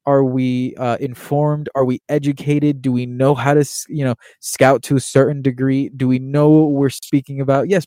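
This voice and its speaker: A young man from Miami, Florida, talking earnestly and quickly in a casual monologue, with plenty of fillers and restarts. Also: medium pitch, warm tone, no echo. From Miami, Florida, USA. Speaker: podcast host and baseball writer.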